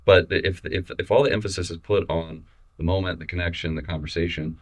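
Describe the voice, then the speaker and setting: A young adult man from Missouri, a photographer talking casually in an interview, relaxed and halting with many restarts and fillers. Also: low voice. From Missouri, USA. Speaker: photographer guest.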